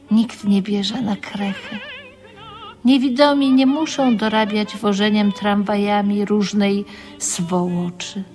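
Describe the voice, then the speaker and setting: World-weary female narrator, radio drama, sardonic, bitter, very slow delivery, faint music. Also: mid-range voice, a little mellow. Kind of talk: radio play monologue.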